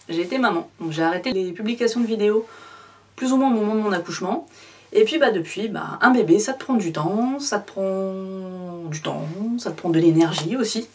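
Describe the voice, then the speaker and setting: A woman's voice: mid-range voice, a little mellow, distant mic. A woman in her thirties, a photography vlogger, talking casually and directly to her viewers with lots of fillers. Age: 30s. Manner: chatty, addresses the viewer informally with many fillers. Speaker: photography YouTuber. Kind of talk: casual direct-to-camera vlog.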